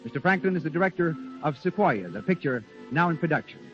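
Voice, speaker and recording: A male announcer with a high-pitched voice speaks in formal 1930s radio style. The vintage broadcast sounds dull, with clear music behind him. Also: animated, fast talker.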